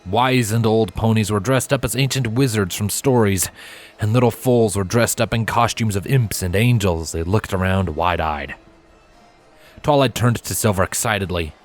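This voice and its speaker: Low-voiced man narrating a story. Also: normal speed, faint music behind. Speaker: fan fiction narrator.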